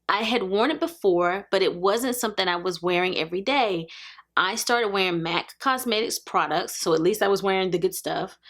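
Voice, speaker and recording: A woman's voice: medium pitch, a bit bright. A woman in her forties hosting a makeup tutorial, chatting to camera in an earnest, emphatic way and repeating points for stress. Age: 40s. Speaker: beauty vlogger.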